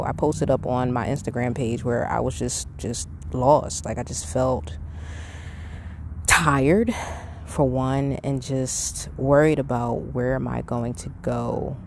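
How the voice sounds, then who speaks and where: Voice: mid-range voice, gravelly, a little mellow. A middle-aged podcast host giving an earnest, reflective, conversational monologue, talking casually. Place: outdoors. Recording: windy outdoor background noise. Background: wind, outdoor ambience.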